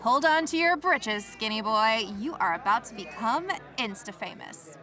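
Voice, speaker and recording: A woman's voice: fairly high pitch, expressive, normal speed, bright, forceful tone, faint music behind. An actress playing an exasperated young woman in a comedic audio drama, dramatic and whiny. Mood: surprised, brash.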